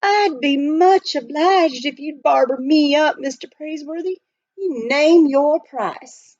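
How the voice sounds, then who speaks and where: A woman voicing a friendly, rough-hewn young gold miner in folksy frontier dialect for a children's storybook. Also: sits high, slow pace, darkish tone, no room sound, muffled recording, pitched above the performer's own voice. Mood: folksy, cheerful. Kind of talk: children's storybook read aloud.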